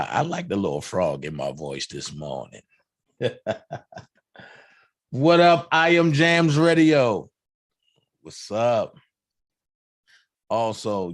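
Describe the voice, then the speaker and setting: A hoarse man hosting a livestream, chatting casually and hyping up shout-outs to fellow channels. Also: low voice, no echo, studio quality. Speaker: livestream host.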